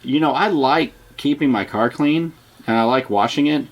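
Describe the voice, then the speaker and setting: Easygoing man in relaxed podcast chat. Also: mid-range voice, moderate pace. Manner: easygoing, agreeable, laughs often. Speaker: podcast co-host. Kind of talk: casual chat.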